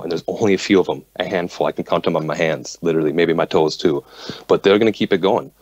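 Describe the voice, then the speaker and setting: A man who makes reggae rhythms, talking passionately and fast in a casual radio discussion. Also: low-pitched, no echo, dull audio. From United States. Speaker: reggae producer and musician.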